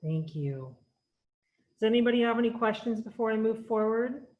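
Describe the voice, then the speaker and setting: A woman's voice: a little high, animated, moderate pace, lo-fi sound. A woman giving an earnest, explanatory talk at an online conference, speaking over a video call with frequent restarts. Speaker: workshop presenter.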